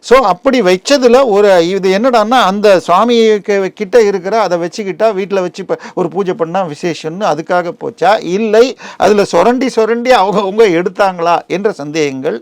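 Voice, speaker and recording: A man's voice: high voice, moderate pace, dry recording. A man with a Tamil Nadu accent giving digressive, explanatory commentary in an interview, often adding comparisons and figures. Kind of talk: explanatory news interview talk.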